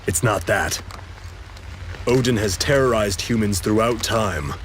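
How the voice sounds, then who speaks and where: A grave, learned man in a fantasy game, low and solemn. He speaks in a measured way over clearly audible rainy ambience. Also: expressive, slight background noise. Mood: solemn, bitter. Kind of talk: video game voice acting.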